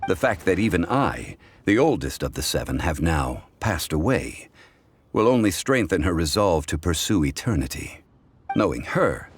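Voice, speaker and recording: An actor playing a calm, dignified ancient god in human guise for a video game, explaining in a measured, formal, scholarly way. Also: male voice, low voice, heavily acted.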